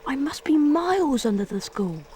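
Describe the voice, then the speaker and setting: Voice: high-pitched, big pitch swings, moderate pace, noisy background. An actor voicing a brave, earnest schoolboy wizard in a dramatic video game. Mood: alarmed, urgent. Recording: long reverberant hall, music underneath.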